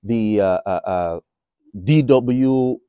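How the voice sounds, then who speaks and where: Nigerian-accented man, mellow and dry. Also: on the low side, very slow, clear, resonant tone, no room sound, muffled recording. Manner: rambling, many restarts and fillers. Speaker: finance vlogger. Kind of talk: casual monologue. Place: Republic of Ireland.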